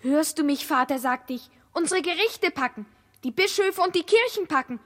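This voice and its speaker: Casting: pleading young daughter, piercingly high and sharp, urgent radio drama delivery. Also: female voice, expressive, normal speed. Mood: urgent, insistent. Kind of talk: 1960s German radio play narration.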